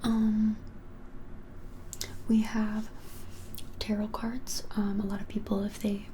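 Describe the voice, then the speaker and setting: Whispering young woman, soft and mellow. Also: medium pitch, little pitch movement, a little hiss or hum. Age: 20s. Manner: soft whispering, hesitant and unhurried. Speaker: ASMR creator playing a witch shop keeper. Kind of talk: ASMR roleplay, whispered. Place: small plain-walled room, indoors.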